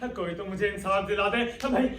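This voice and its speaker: Man acting a high-pitched, blustering miserly old father. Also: brisk, fairly bright tone. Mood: frantic outcry. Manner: blustering, exclamatory, theatrical. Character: miserly, hot-tempered old father, master of the house. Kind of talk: Hindi stage comedy acting, projected. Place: theatre stage, recorded from afar. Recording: echoey hall, distant mic, noisy.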